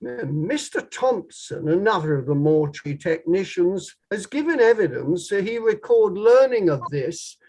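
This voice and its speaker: Guy playing a lawyer questioning a witness, quite high voice, measured and formal, stage play read-through. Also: big pitch swings, a little mellow, low-quality recording. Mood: pressing, formal. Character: probing male inquiry barrister, formal and methodical. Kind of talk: online table read of a courtroom play.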